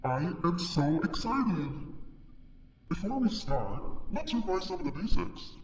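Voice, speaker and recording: High, echoing, menacing monster teacher. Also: male voice, very animated, a little slow. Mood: quietly threatening. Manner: theatrical, swinging from chirpy to threatening. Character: menacing monster schoolteacher, gleefully cruel and theatrical. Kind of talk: video game character acting. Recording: echoey hall, distant, some noise.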